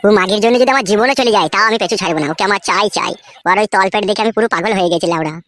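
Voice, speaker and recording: Guy doing a horny lovesick village bachelor, cartoon comedy, high voice, gushes fast and crude. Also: a bit bright, dry recording, clean studio sound. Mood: lustful, yearning. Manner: crude, gushing. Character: lovesick, lustful, foul-mouthed village bachelor.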